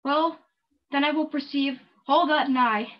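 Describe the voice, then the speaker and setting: A woman's voice: high-pitched, unhurried. A woman playing a frightened, pleading young woman waking from a nightmare in a theatrical Shakespeare monologue. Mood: fearful, searching. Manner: exclamatory, pleading. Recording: muffled video-call audio.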